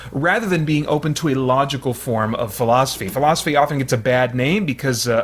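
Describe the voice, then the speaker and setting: A man hosting a channel, giving casual, partly read commentary in a hesitant, thoughtful, explanatory way. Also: mid-range voice.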